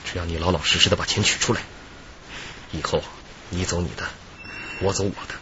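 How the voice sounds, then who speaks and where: A low, menacing robber. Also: male voice, steady tone, fairly quick, sharp tone. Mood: cold menace. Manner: controlled, threatening. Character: menacing male bank robber threatening his hostage. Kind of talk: radio drama acting. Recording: dull, lo-fi, noisy radio drama track.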